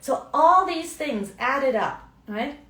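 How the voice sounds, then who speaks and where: A woman's voice: high voice, expressive, slow, pushed and bright. German-accented woman coaching in a roomy space. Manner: chatty, frequent tag questions. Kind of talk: live video talk. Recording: roomy, mic at a distance.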